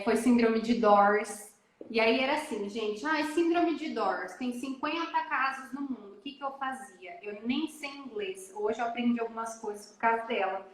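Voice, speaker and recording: Woman on a live call, kinda high voice, tells her story all animated and emotional, super echoey room. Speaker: guest mother. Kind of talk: casual live chat. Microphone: phone or laptop on a live video call.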